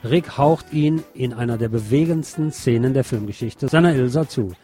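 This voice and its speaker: A man's voice: mid-range voice, normal speed, mellow, faint music behind. A man narrating a scripted documentary.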